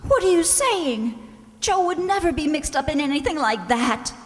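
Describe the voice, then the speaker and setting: A woman playing an anxious, fragile woman in distress in an old-time radio drama, speaking in a halting, fretful way. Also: sits high, lively intonation, faint music behind. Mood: anxious, pleading. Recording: reverberant hall, stage-like sound.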